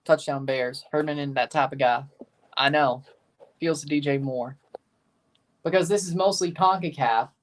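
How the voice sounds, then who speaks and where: A man's voice: on the high side, moderate pace, pauses often, far from the mic. A man with a Southern American accent chatting casually and rambling through a live match watchalong, with some mild swearing. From United States. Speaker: streamer.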